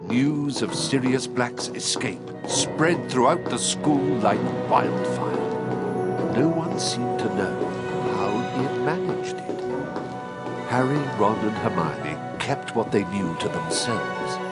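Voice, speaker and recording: A man playing a dramatic, measured British narrator of a wizard tale in a video game, with suspenseful pauses. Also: medium pitch, expressive, loud music behind the voice. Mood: storytelling.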